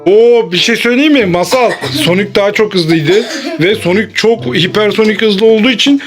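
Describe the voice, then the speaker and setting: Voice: mid-range voice, moderate pace. A host playing with children in an improvised make-believe game, exclaiming, teasing and repeating phrases. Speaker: host of a family play video who grants wishes. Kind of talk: playful improvised play with children. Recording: loud background music. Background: music.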